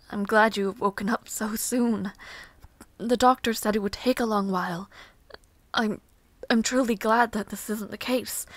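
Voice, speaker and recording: A woman playing a caring, easily flustered young noblewoman in a fantasy audio roleplay, soft-spoken, hesitant and politely formal. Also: mid-range voice, no echo, natural voice. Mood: soothing, relieved.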